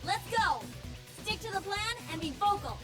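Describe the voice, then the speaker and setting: A woman's voice: very high-pitched, expressive, normal speed, a bit bright, soft background music. An actress playing a young female mech pilot teammate in a video game. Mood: focused, eager.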